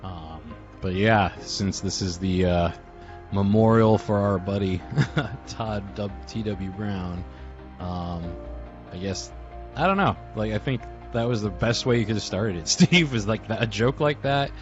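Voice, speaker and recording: A man's voice: on the low side, unhurried. A man hosting a casual group call, speaking in an informal, rambling and hesitant way as he reminisces. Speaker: host of a memorial group call. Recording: dull lo-fi audio with music behind. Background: music.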